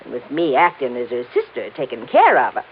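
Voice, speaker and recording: An American actress playing a hard-bitten, sarcastic gangster's accomplice in an old-time radio crime drama, curt and bossy. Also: female voice, extremely low pitch, huge pitch swings, brisk, full character voice. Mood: mocking. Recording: tinny, noisy vintage radio recording.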